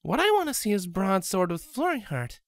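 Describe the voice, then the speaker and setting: Storybook pony wife, played by a man: high, soft, sweet and teasing. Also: very animated, normal speed, dry recording, clean studio sound. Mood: playful, skeptical. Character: teasing female pony soldier, drowsy-sweet young newlywed wife.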